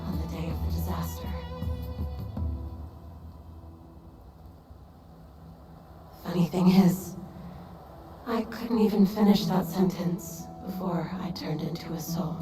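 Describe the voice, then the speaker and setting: A woman playing a thoughtful, sorrowful mentor in a story game, speaking in an earnest, reflective and measured way. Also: fairly low pitch, very animated, roomy sound, loud music behind the voice. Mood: remorseful. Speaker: voice actor. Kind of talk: dramatic game voice acting.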